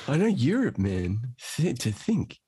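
A man's voice: a little high, mellow, breathy edge, dry recording. A man chatting as a podcast guest, eager and enthusiastic, often calling people "man" and "dude". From Australia. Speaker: guest musician. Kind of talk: casual conversation.

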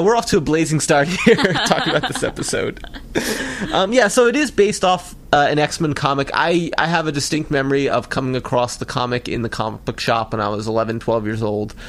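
A man with an American accent and an average-pitched voice full of gravelly creak. He co-hosts a podcast in an informal, conversational style. His sentences wander and stall as he works through a thought. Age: 30s or 40s. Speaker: podcast co-host. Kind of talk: casual chat about a cartoon episode.

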